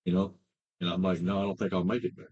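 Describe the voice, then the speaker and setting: A man in his fifties telling his recovery story as a treatment client, halting, with many fillers and restarts. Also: low voice, little pitch movement, a little mellow, husky, highs cut off. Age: 50s. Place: treatment center.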